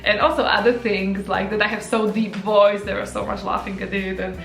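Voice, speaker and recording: A woman's voice: medium pitch, flat delivery, fairly quick, creaky, fairly bright tone, soft background music. Supportive young woman, echoey room. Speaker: co-host. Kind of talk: casual chat about relationships.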